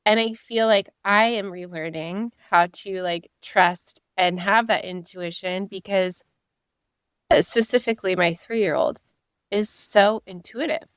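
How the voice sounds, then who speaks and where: A woman's voice: mid-range voice, unhurried, smooth, no room sound, studio quality. A woman in her thirties hosting an interview podcast, affirming and admiring toward her guest. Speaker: podcast host.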